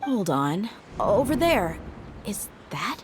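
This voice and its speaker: An actress playing a tough, loyal young bodyguard in a video game, with a blunt, casual way of talking. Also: female voice, mid-range voice, sing-song, slow, slight background noise. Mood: worried.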